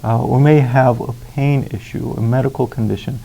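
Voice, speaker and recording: Casting: male expert interviewee, mellow and fry-laden, formal, deliberate, halting explanatory delivery. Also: medium pitch, fairly even intonation, low-quality recording.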